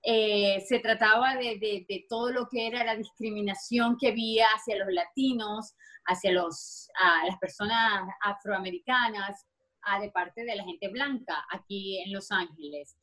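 A woman's voice: medium pitch, a little slow, pushed and bright. A middle-aged woman from Maracaibo, Venezuela, with a marked Maracaibo accent, chatting as a guest in an interview with many fillers and restarts. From Venezuela (Maracaibo, Zulia). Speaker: actress guest. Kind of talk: interview conversation.

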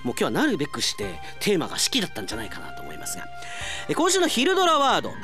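A man's voice: high voice, wildly swooping pitch, racing, music in the background. A man hosting a radio segment, chatting and reading out listener mail as an announcer.

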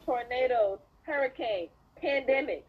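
A woman, chatting loudly, laughing and swearing among friends. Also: on the high side, measured pace, phone-quality sound. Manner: loud, laughing, swearing, excitable. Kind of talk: casual chat.

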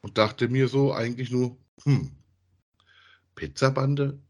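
Man, medium pitch, slightly rough voice, casual podcast talk, colloquial, rambling. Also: takes it slowly, a little mellow. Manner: colloquial, rambling, ends phrases with tag questions. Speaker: podcast host. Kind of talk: casual conversational review of audio dramas.

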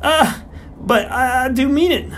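A man's voice: very high-pitched, lively intonation, measured pace, fairly bright tone, slight background noise, voice pushed up, exaggerated voice. A man voicing a small, elderly, beery retired smallholder, who talks in a conspiratorial, rustic way. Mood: emphatic. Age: older. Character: wily beery little old male horse doctor.

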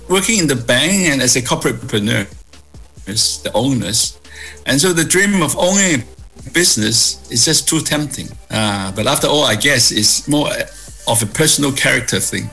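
A man in his sixties from Hong Kong, answering interview questions in a measured way with many fillers and restarts. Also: mid-range voice, animated, creaky, sharp tone, soft background music, poor audio. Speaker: guest, property executive.

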